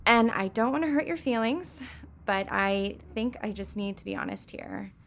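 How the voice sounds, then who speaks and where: A woman's voice: medium pitch, expressive, creaky, slight background noise. A woman playing a blunt, unenthusiastic young woman in a comedic audio drama. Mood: blunt, hesitant. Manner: blunt, unhurried.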